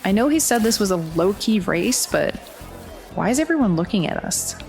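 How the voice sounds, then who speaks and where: A woman's voice: medium pitch, sing-song, normal speed, creaky, darkish tone, soft background music. A woman playing a determined, wary young runner in a naturalistic audio drama, with a dry, skeptical, conversational manner. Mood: wary, wry.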